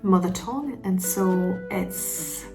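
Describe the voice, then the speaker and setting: A woman's voice: fairly low pitch, takes it slowly, mellow, soft background music. A woman whose native language is French, talking casually and reflectively to her vlog, hesitant as she searches for words. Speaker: writing vlogger.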